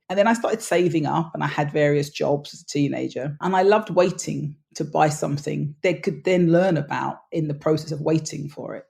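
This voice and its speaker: A woman's voice: sits low, gravelly, darkish tone. A woman with a cold and a chesty voice, chatting as an interview guest in a rambling way. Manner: chatty, rambling, lots of "sort of" and "you know". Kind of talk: casual interview chat.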